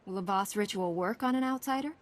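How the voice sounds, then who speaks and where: A woman's voice: mid-range voice, fast talker, lightly acted. A woman playing a resolute young warrior hero in a video game, speaking in an earnest, direct, composed way. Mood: questioning. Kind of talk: video game cutscene acting. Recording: music under the voice.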